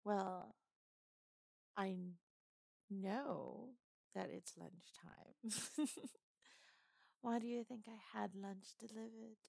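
A woman voicing a flirtatious British woman who teases and seduces a coworker, in a put-on British accent and a sultry manner with soft whispers. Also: medium pitch, fairly even intonation, drawn-out words, creaky, pauses often, no room sound, full character voice. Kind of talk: audio roleplay.

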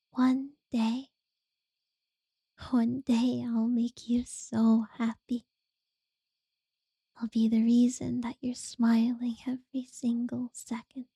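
A woman's voice: on the high side, no room sound, studio quality, heavily acted. A woman whispering as an obsessive captor. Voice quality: soft breathy whisper. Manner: slow, intimate, unsettlingly sweet. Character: obsessive possessive woman holding a captive. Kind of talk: whispered audio roleplay.

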